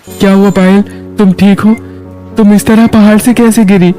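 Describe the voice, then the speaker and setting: A man's voice: high voice, level tone, moderate pace, warm tone, soft background music, voice pushed up, lightly acted. A man voicing an anxious, protective young husband in a Hindi moral story, speaking urgently and emphatically. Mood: worried.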